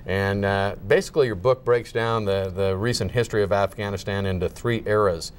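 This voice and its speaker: Male TV host, conversational, prompts questions, low voice, faint noise behind. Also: fairly even intonation, brisk. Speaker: TV talk show host. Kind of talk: TV interview.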